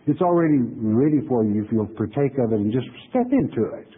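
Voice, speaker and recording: Guy preaching a laid-back bible lecture, bit husky, keeps asking "okay?", sounds like it's coming through a phone. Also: medium pitch, animated, narrow, tinny audio. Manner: folksy, conversational preaching with frequent "okay?" check-ins; reads verses slowly, then explains them plainly. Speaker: pastor. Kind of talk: sermon lecture on scripture.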